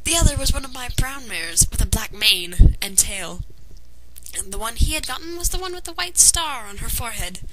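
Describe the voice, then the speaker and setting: A woman's voice: medium pitch, fairly bright tone. A woman reading a fan fiction story aloud as narrator, in a dramatized way. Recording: noisy amateur home recording.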